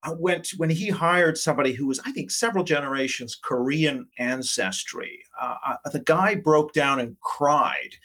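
A man from Canada speaking as an academic interview guest, explaining conversationally in a measured, qualifying way with frequent asides. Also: fairly high pitch, bright, forceful tone.

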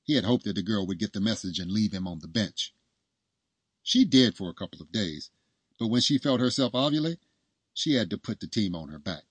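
Low husky guy narrating a story, really dry close recording. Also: lively intonation, fairly quick, dry recording.

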